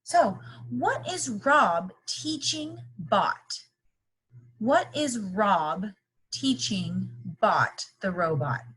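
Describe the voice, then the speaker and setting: A woman's voice: mid-range voice, very animated, drawn-out words, fairly bright tone, husky, low-quality recording. A woman leading an interactive read-aloud lesson for young children, explaining simply and checking in with repeated questions. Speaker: teacher reading a picture book aloud.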